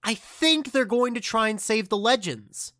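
A woman playing a panicky, excitable member of an adventuring team in an audio drama, urgent and overdramatic. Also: fairly high pitch, a little slow, dry recording, studio quality. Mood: puzzled, earnest.

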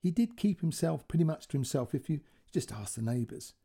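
A man as reserved, husky-voiced Miss Sato. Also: a little high, lively intonation, brisk, warm tone, exaggerated voice. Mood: hesitant. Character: reserved, politely evasive woman resident, Miss Sato.

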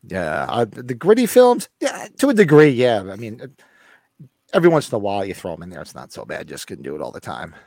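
Lively-voiced man with a fading voice. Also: on the low side, fairly quick, dry recording, studio quality. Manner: lively, joking, reads viewer comments aloud. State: losing his voice. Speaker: podcast co-host. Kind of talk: casual chat, reading live chat.

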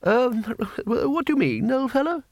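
Man playing a blustering, high-pitched old wizard. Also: very animated, a little mellow, no echo, clean studio sound, heavily acted. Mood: hearty. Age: older. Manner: brisk, pompous questioning with old-fashioned hearty phrases. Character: blustering, bossy old wizard arch-chancellor, hearty and commanding.

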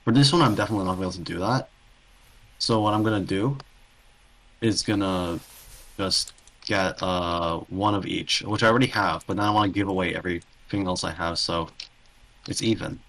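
Low-voiced man in casual gaming chat. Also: fairly quick, pauses often, fairly bright tone, rough recording. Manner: informal, bantering. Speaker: podcast co-host. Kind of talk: casual chat about a video game.

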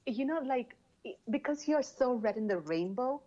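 A woman who speaks English as a second language, chatting casually as a guest author, animated, laughing often and restarting her sentences. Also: sits high, normal speed, highs cut off. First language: not English. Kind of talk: casual interview chat.

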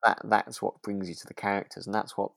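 A man's voice: lowish voice, flat delivery, quick pace, gravelly, fairly bright tone, no room sound, clean studio sound. A British man co-hosting a podcast, chatting casually in a hesitant, rambling way as he tells stories. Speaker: podcast co-host. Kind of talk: casual chat about a radio drama.

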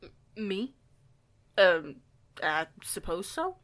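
Woman acting a low-voiced, flustered young werewolf. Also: very animated, extremely slow, a bit bright, full character voice. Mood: nervous. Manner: stammering, sweary, emphatic. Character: young female werewolf outsider, flustered, foul-mouthed, fiery. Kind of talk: audio roleplay acting.

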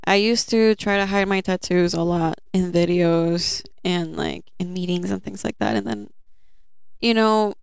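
Woman in her twenties, lowish voice, smooth and clear, chatty host. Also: animated, unhurried, no room sound, studio quality. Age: 20s. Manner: chatty, friendly, many fillers. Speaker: podcast host. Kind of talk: casual interview chat.

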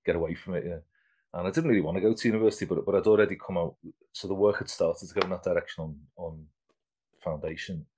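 A man's voice: deep voice, fairly even intonation, quick pace, darkish tone, slight background noise. A man in his fifties, an artist being interviewed, talking casually and rambling with many restarts. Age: 50s. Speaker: artist guest.

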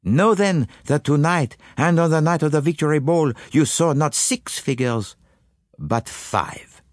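A man voicing a self-assured, courteous and exacting detective in an audiobook, with a pompous, deliberate manner. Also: fairly high pitch, lively intonation, no echo, studio quality, voice pushed up. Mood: solemn, knowing. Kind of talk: audiobook character voice.

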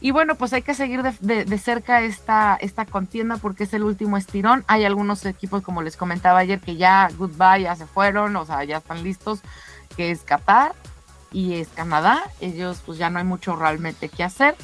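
A woman with a Mexican accent presents sports news as a radio co-host. Her delivery is conversational and chatty, speaking straight to her colleagues. Music plays softly under her voice. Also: mid-range voice, normal speed. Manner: chatty, addresses co-hosts directly.

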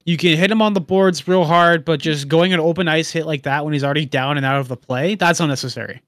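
A man's voice: a little high, fairly even intonation, quick pace, fairly bright tone, no room sound, clean studio sound. A man chatting casually about a hockey game, laid-back and leaning on fillers like "like" and "you know.". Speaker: podcast co-host. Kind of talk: casual sports talk.

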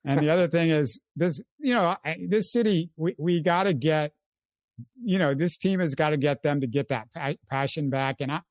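Guy doing casual sports talk, kinda high voice, earnest, repeats himself, dry sound. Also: normal speed, no echo. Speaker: sports show host.

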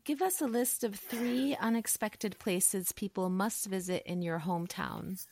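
A woman's voice: mid-range voice, a little slow, creaky, a little mellow, no echo, clean studio sound. Enthusiastic woman interview host. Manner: enthusiastic and affirming. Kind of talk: casual interview talk.

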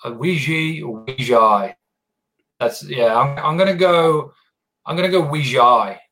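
A man hosting a casual podcast interview, relaxed and often repeating words as he searches for the phrase. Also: medium pitch, a little slow. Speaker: podcast host.

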